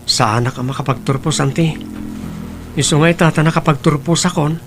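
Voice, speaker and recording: A man's voice: fairly high pitch, fairly quick, music in the background, close to an everyday voice. A man playing an earnest young nephew. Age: young adult. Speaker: nephew in a family drama. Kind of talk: Ilocano radio-style family drama.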